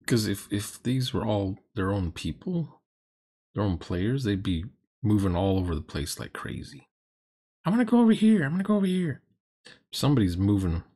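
A man's voice: lowish voice, very animated, normal speed, warm tone, husky. A middle-aged man giving critical, sarcastic commentary on a roleplaying game in a grumbling, mocking way. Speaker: commentator reacting to a recorded roleplaying session.

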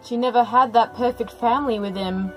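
A woman's voice: a little high, a little fast, rough recording. A woman giving live, unscripted reaction commentary, exclaiming and gushing as she narrates what is happening. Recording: music playing behind the commentary.

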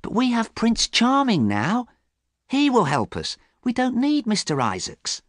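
A starry-eyed young storybook woman, played by a man reader. The voice is pitched high with lots of lilt, sweet and gushing in manner. It is recorded dry. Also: huge pitch swings, a little slow, no echo, heavily acted. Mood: dreamy, hopeful. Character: romantic, starry-eyed young actress, devoted and hopeful.

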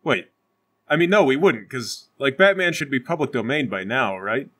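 A man's voice: mid-range voice, lively intonation, moderate pace, fairly bright tone. A man playing a tabletop game, bantering comedically in a questioning, argumentative way.

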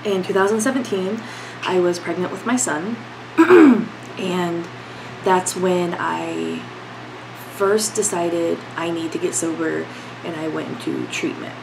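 A woman's voice: fairly low pitch, steady tone, measured pace, creaky, noisy background. A young woman vlogging from her bedroom, congested from a cold, talking candidly with lots of fillers. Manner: rambling, filler-heavy, candid. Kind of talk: casual talk to camera. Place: bedroom at home, indoors.

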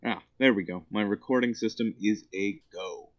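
A man's voice: fairly low pitch, moderate pace. A smarmy, cooing man talking and presenting casually over a lo-fi voice call. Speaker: caller. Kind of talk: casual call talk and vlog-style presenting. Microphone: voice chat call. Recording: dull lo-fi voice call.

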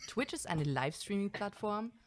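A woman's voice: medium pitch, animated, normal speed, very noisy. A woman in her thirties introducing herself as a chat streamer. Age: 30s.

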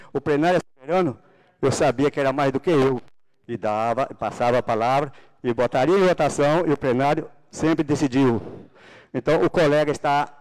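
A man's voice: on the high side, darkish tone, poor audio. A middle-aged man from Paraná, Brazil, a city councilman giving a fervent, religious political speech on a handheld microphone in a large council chamber. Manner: fervent, religious, rising to emphatic bursts.

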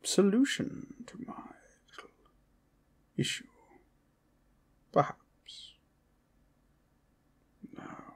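Man playing a slow, breathy old scheming wizard. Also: medium pitch, full character voice. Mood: musing, fond. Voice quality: breathy, husky. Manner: theatrical, musing, slipping into whispers. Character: scheming, grumbling old male wizard doting on his orb. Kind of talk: ASMR fantasy roleplay monologue. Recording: music behind the voice.

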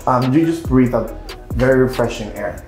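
A man's voice: mid-range voice, moderate pace. A man in his thirties from Puerto Rico, a hairstylist chatting casually on camera, rambling and searching for words. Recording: roomy sound with music behind.

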